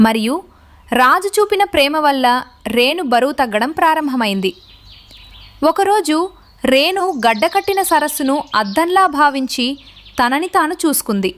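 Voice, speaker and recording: A woman's voice: high-pitched, lively intonation, moderate pace, long pauses, a bit bright. A woman giving scripted narration as the storyteller of a tale.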